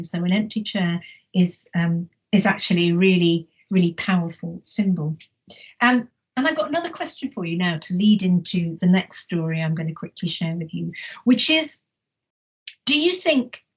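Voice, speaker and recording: A woman's voice: fairly low pitch, breathy edge, dull audio. A Welsh-born middle-aged woman with an English accent, reading a picture book aloud and asking schoolchildren questions in an online lesson. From Wales. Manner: gentle storyteller, asks children questions. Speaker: teacher. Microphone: live online lesson mic.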